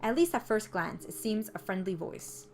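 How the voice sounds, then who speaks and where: A woman reading a story aloud as scripted narration, in an expressive storytelling manner. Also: mid-range voice, normal speed. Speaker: visual novel player reading the text aloud. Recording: music behind the voice.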